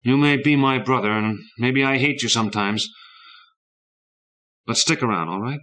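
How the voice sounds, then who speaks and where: A man's voice: moderate pace, bright, forceful tone, natural voice. A man voicing an anguished young boy who cries out fiercely in a raised, strained voice. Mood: earnest. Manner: cries out in raw high anguish.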